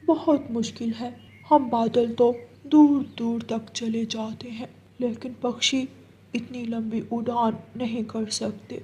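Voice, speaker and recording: A woman voicing a kind, gentle male uncle cloud in a children's cartoon, with a soothing, polite manner. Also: a little high, steady tone, slow, a little hiss or hum. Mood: kindly. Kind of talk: Hindi children's cartoon story acting.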